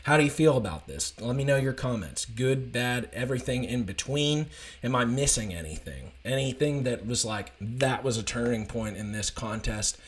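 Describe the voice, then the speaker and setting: A man with a slightly raspy, hoarse voice, giving an enthusiastic, rambling casual recap of a college football game. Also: mid-range voice, expressive, normal speed. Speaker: college football fan commentator.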